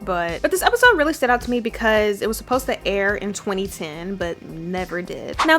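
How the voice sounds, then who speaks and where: A woman's voice: mid-range voice, a bit bright. A young woman hosting a video essay, mixing scripted commentary with casual, emphatic asides and repeating herself for emphasis.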